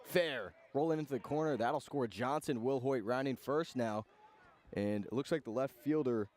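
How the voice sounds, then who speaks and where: A man doing live radio play-by-play of a baseball game in clipped phrases, with pauses between calls. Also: mid-range voice, darkish tone. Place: outdoor ballpark broadcast.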